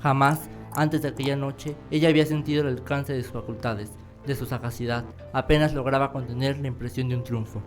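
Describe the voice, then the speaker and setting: A man narrating a scripted radio drama in a measured, dramatic storytelling manner. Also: mid-range voice, fairly even intonation. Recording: clear music behind the voice.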